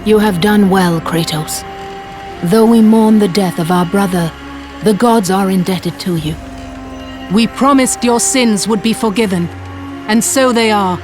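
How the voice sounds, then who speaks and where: An actress playing a calm, stately goddess in a video game cutscene, with a soft, measured voice. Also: female voice, mid-range voice, lightly acted. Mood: solemn. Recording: game cutscene under music.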